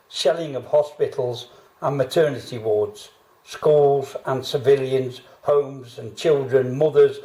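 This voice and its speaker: A man speaks in a grave, measured way, narrating and then gently interviewing a guest. His voice is mellow and relaxed, with a roomy echo around it. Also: medium pitch. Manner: grave, measured delivery with rhetorical questions. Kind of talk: solemn documentary narration and interviewing.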